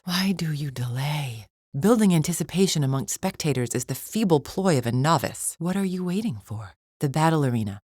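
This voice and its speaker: A woman's voice: deep voice, a little mellow, no echo, studio quality. A woman playing a proud, impatient alien warrior champion in a video game, speaking with formal, imperious scorn. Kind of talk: video game character acting.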